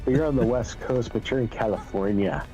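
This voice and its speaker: A man's voice: mid-range voice, animated, normal speed, warm tone, highs cut off. A man chatting casually as a companion on a game stream. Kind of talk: casual chat. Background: video game music.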